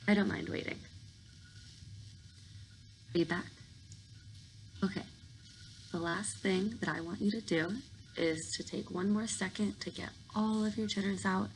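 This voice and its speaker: Slow, creaky-voiced woman guiding sleep meditation. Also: medium pitch, steady tone, poor audio. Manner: soothing, informal, reassuring. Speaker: guided meditation host. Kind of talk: guided sleep meditation, softly instructing. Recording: clear background noise.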